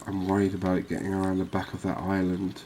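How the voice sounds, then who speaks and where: Low, even-voiced man doing ASMR commentary. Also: slight background noise. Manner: calm, unhurried, musing aloud. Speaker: gaming video host. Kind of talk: soft-spoken ASMR gameplay commentary.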